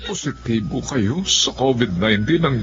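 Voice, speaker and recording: A man's voice: mid-range voice, animated, normal speed, a bit bright, dull audio, faint music behind, lightly acted. A man playing a caring, dutiful doctor and husband in a Filipino radio drama. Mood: puzzled, troubled.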